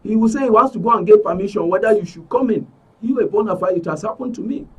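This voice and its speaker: Male interview guest, middle-aged, Nigerian accent. Slightly high, rough-edged voice, emphatic delivery, off-mic sound. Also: fast, recorded from a distance, poor audio. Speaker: studio guest, aggrieved club member. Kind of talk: spoken account in a broadcast interview. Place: radio and TV studio.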